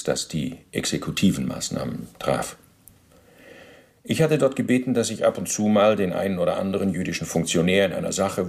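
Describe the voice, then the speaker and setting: A former officer testifying in a radio play, his voice low and full of fry. He recounts things precisely and bureaucratically, in a matter-of-fact tone that justifies himself.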